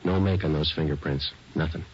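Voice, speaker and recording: An actor playing a stoic police detective in a 1940s radio drama, narrating in a clipped, matter-of-fact way. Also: male voice, very deep voice, fairly even intonation, brisk, heavy background noise. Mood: businesslike. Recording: muffled old radio recording with loud music.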